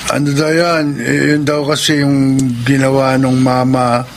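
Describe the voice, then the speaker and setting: An actor with a Filipino accent playing a mild, loyal young writer in a radio drama, polite and hesitant. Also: male voice, mid-range voice, level tone, unhurried, background noise, lightly acted. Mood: relaxed. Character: mild, loyal young screenwriter, flustered by advances.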